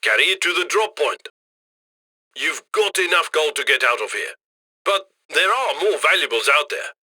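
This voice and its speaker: A man's voice: a little high, lively intonation, moderate pace, pushed and bright, dry recording, studio quality, heavily acted. An actor voicing a cocky, upbeat criminal heist handler in a video game, slick and conversational as he directs and eggs on the crew. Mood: confident, instructing. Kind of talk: video game mission voice-over.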